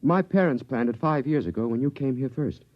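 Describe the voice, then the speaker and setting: A man's voice: mid-range voice, animated, brisk, lightly acted. A man playing a polite, eager alien impostor who passes as human, in a 1950s radio drama. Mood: eager, obliging. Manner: meek, obliging. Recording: dull vintage 1950s radio recording.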